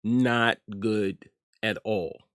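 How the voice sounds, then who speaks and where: A man's voice: lowish voice, drawn-out words, a bit bright, smooth, no echo, studio quality. A middle-aged American man hosting an informal opinion commentary, speaking emphatically and repeating phrases for effect. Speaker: host of an online news commentary show.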